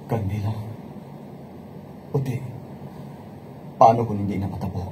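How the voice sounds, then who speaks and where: A man's voice: fairly low pitch, steady tone, measured pace, noisy background, close to an everyday voice. A man playing a tender, insecure young suitor in a radio romance drama, hesitant and sincere, with a Hiligaynon Filipino accent. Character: tender, insecure wealthy young suitor seeking true love.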